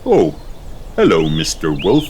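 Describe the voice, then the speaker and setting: Low jolly father pig, played by a man, easygoing, storybook character, noisy recording. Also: wildly swooping pitch, a little slow, full character voice.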